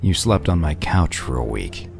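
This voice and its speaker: Guy doing a tired gruff cop type, super low and gravelly, blunt, radio play. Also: big pitch swings, moderate pace, noisy background, heavily acted. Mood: weary. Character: gruff, weary, dry male lawman. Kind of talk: audio drama acting.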